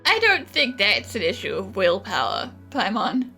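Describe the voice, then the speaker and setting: Bright-voiced woman commenting on a game. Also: mid-range voice, wildly swooping pitch, measured pace, gravelly, faint music behind. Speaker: gamer commenting on a playthrough.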